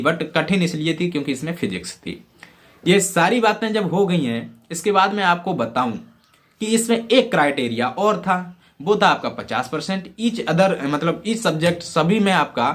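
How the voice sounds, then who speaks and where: A young man from Uttar Pradesh talks informally to exam candidates, with frequent check-in phrases and English words mixed in. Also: fairly high pitch. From Uttar Pradesh, India. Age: young adult. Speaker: exam-prep YouTuber.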